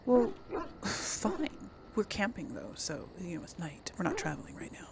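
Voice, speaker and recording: A woman's voice: on the low side, sing-song, moderate pace, gravelly, noisy background. A woman hosting a casual game playthrough, chatting and thinking aloud hesitantly as she role-plays dialogue. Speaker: gaming host and role-player.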